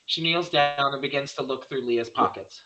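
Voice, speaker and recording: A man's voice: medium pitch, normal speed, bright, forceful tone, muffled recording. A man reading the stage directions of a horror screenplay in plain scripted narration.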